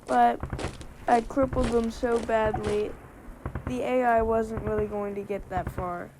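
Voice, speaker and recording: A woman giving a rambling gameplay commentary voice-over, slightly hoarse from a winter cold and full of fillers and restarts. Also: fairly high pitch, fairly even intonation, a little slow, background noise. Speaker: gameplay video creator.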